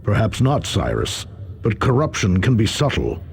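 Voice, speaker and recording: Brooding sage, very animated intonation. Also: male voice, lowish voice, gravelly, slight background noise, full character voice. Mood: ominous. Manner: ominous, portentous, measured. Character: brooding, cautious space marine psychic sage. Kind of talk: video game character acting.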